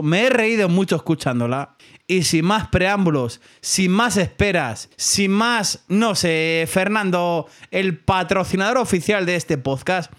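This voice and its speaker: A man with a Spanish accent from Spain, a podcast host chatting casually to his listeners. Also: high voice. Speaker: podcast host and prank caller. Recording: music playing behind the voice. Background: loud music.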